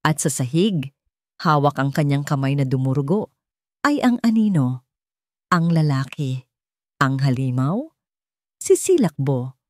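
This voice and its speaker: A woman with a fairly low voice narrates a dramatic story. She sounds solemn and suspenseful, phrasing each line deliberately. The recording is dry and close. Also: animated, darkish tone, no echo.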